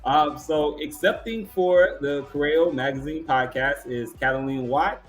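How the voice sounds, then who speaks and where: A man's voice: fairly high pitch, unhurried, faint music behind. A man hosting an awards ceremony, presenting informally from a partial script in a casual, courteous manner.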